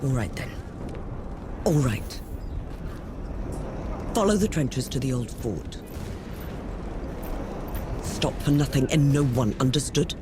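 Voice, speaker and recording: Stern military commander, game cinematic: measured, authoritative, wide pitch swings, noisy surroundings. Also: male voice, expressive. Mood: grave, commanding. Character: stern, commanding army field marshal. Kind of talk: dramatic game cutscene acting.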